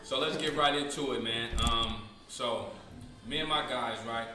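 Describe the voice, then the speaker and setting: A man in his late twenties from San Francisco, hosting a casual podcast in a relaxed, slangy way with lots of fillers. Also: medium pitch, unhurried. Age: 20s. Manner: laid-back slangy talk with many fillers. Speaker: podcast host. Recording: echoey room with noise.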